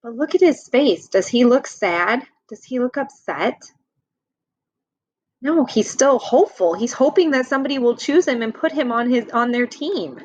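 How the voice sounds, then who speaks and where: A woman teacher reading a picture book aloud to children, gently pausing to ask them questions about the pictures. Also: on the high side, lively intonation. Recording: dull lo-fi recording.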